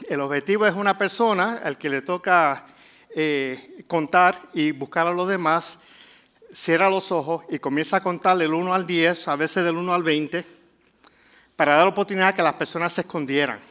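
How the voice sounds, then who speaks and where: A middle-aged man from Puerto Rico with a Puerto Rican Spanish accent, preaching a sermon in a conversational way with frequent filler pauses. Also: a little high, a bit bright. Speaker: pastor.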